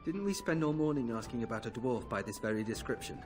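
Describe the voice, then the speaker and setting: A man's voice: medium pitch, lively intonation, fast, music in the background, lightly acted. A man playing an impatient soldier henchman in a fantasy game, brusque and sarcastic.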